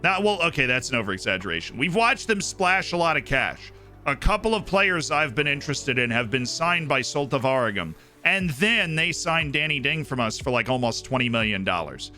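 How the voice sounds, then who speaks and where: An excitable male streamer talks his viewers through a football management save, reacting to results and answering chat as he goes. His voice sits at an ordinary pitch but sounds very bright and keen-edged. Soft music plays quietly behind him. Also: normal speed. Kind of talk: casual live-stream commentary to chat.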